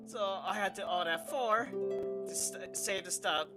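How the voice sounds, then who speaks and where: Woman gamer for livestream commentary: medium pitch, loose and informal, halting, game music underneath. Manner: informal, hesitant, reacts to game dialogue. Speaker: game streamer. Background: loud game music.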